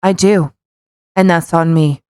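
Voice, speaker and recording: A woman's voice: fairly low pitch, steady tone, measured pace, darkish tone, smooth, no room sound, studio quality. A woman co-hosting a podcast, doing a casual dramatic read-aloud with playful, mocking, sweary asides. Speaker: podcast co-host.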